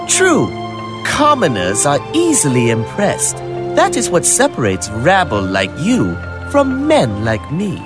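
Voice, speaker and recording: An actor playing a venomous, contemptuous royal Chinese admiral, imperious and sneering, in a theatrical game cutscene. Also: male voice, fairly high pitch, huge pitch swings, slow pace, heavily acted. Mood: haughty, sneering. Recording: game audio with loud music behind.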